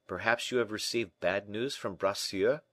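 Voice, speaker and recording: A man voicing a shrewd, brisk gentleman in an audiobook, animated, questioning and a little impatient. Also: on the low side, dry recording, clean studio sound, natural voice. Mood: teasing concern. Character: D'Artagnan, brisk, shrewd, exclaiming gentleman friend. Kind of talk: audiobook character acting.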